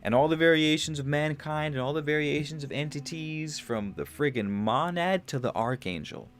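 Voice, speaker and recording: A young man hosting a livestream, rambling casually about spiritual ideas, restarting phrases, swearing loosely and getting animated on big points. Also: fairly high pitch, moderate pace, slight background noise. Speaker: podcast host.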